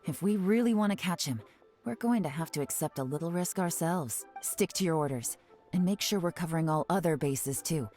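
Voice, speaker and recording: An actress playing a calm, cunning female spymaster who gives orders to her agents. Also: low voice, a little fast, soft background music. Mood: commanding. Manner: calm, sly and commanding. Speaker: commander of agents. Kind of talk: game voice acting.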